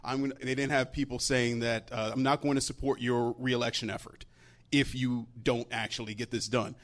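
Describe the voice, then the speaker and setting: Emphatic man, mid-pitched voice. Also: little pitch movement, normal speed. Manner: emphatic, repeats key words. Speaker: panelist. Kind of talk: conversational political commentary.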